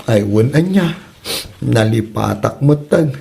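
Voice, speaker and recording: A younger Filipino farmer played by a man in a comedy drama, plaintive and full of self-pity. He speaks with an Ilocano accent in a gravelly, creaky voice that swings widely in pitch. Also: animated, slow, warm tone. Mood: whining.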